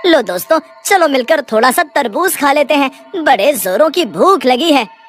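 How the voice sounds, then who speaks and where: A woman's voice: sits high, animated, moderate pace, soft background music. A woman voicing a gloomy, complaining village man, someone's friend, in a cartoon story. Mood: hungry. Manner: plaintive, complaining.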